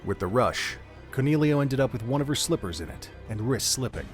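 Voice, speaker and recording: A man's voice: mid-range voice, lively intonation, fairly quick. A man reading scripted narration for an audio drama in a dramatic storytelling manner. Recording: music under the narration.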